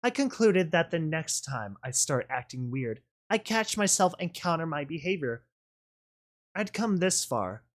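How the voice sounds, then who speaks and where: A man reading a first-person story aloud as a dramatic narrator, brooding and deliberate. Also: a little high, sing-song.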